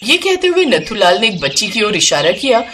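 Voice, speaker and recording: A woman narrating an animated moral story with an even storytelling delivery. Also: medium pitch, brisk, slight background noise.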